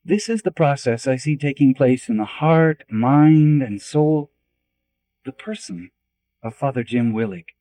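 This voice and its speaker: A middle-aged man, a priest, reads a reflective audiobook foreword in an earnest, preacherly way with deliberate pauses. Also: mid-range voice, animated, warm tone. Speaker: Jesuit priest and spiritual director reading a book foreword. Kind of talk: scripted audiobook narration, reflective.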